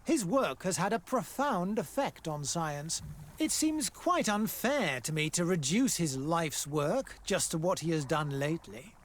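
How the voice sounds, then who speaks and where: A man's voice: fairly high pitch, animated, a little slow. An actor playing a formal, pompous male scientist who runs a colonial laboratory in a fantasy game. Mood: defensive. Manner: formal, pompous, loftily dismissive. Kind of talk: scripted fantasy game acting.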